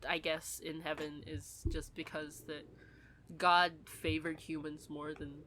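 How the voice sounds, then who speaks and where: A woman's voice: deep voice, little pitch movement, unhurried, fairly bright tone, slight background noise. A woman in a casual, rambling fan discussion, talking as a podcast co-host.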